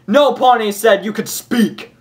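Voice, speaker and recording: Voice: mid-range voice, unhurried, voice pushed up, close to an everyday voice. An amateur reader voicing a brutal pony guard from a fan fiction, barking abuse angrily. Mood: aggressive. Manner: barking, abusive yelling.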